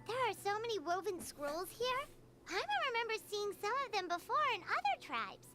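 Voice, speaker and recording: A woman voicing a small, bubbly, curious fairy companion girl in a video game, with a squeaky, chirpy, childlike voice. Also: big pitch swings, moderate pace. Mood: intrigued. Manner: chirpy, exclamatory. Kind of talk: video game character dialogue. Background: game music.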